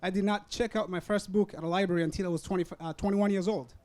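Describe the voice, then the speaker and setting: A young man from Rwanda speaks in a notably high, light register. He addresses an audience at a university event in earnest, measured sentences. English is his second language, and he pauses to gather his words now and then. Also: steady tone, a little mellow. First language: not English. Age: young adult. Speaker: student speaker. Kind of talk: prepared public talk. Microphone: hand-held or stand mic.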